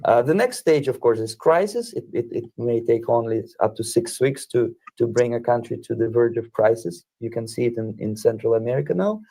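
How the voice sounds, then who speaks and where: A man giving a methodical, halting lecture in an old archival clip. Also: medium pitch, animated, warm tone.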